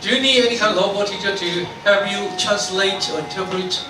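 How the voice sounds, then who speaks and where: A man's voice: high voice, fairly quick, over music. A man with a Taiwanese accent leading a teacher training workshop, asking many questions and often restarting his sentences. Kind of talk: leading a group discussion. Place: school meeting room. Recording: echoey room from far off, noisy.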